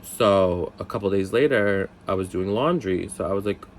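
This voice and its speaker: A man in his twenties telling a casual story, chatty, swearing, with lots of "like" fillers and high-voiced impressions of other people. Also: normal speed, slight background noise. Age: 20s. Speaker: storyteller vlogger.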